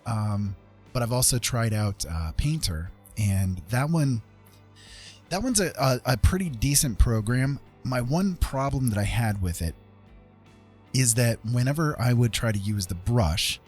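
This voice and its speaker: A man's voice: medium pitch, expressive, unhurried, a little mellow, faint music behind. A middle-aged man chatting casually on an art livestream in a self-deprecating, hesitant, informal tutorial manner. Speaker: livestreaming digital artist.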